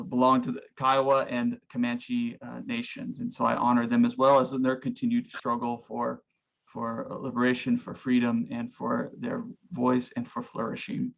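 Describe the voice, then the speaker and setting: A man from Oklahoma, a pastor, giving earnest, reflective and somewhat halting opening remarks over a video call. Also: medium pitch, vocal fry, fairly bright tone. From Oklahoma, United States. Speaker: pastor, guest speaker. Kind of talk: informal opening remarks of a talk. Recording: dull, lo-fi video call audio.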